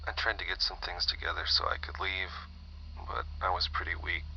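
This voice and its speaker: A man's voice: low-pitched, level tone, fairly quick, vocal fry, sharp tone, dull audio, a little hiss or hum, heavily acted. A man playing a weak, weary survivor. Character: weak, disoriented young man on a tape.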